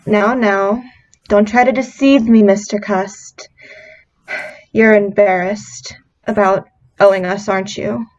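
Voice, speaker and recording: A woman playing a kindly, coaxing young landlady's daughter in an old-time radio drama, with a gentle manner. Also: mid-range voice, steady tone, slow, lo-fi sound, close to an everyday voice. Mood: coaxing, fond.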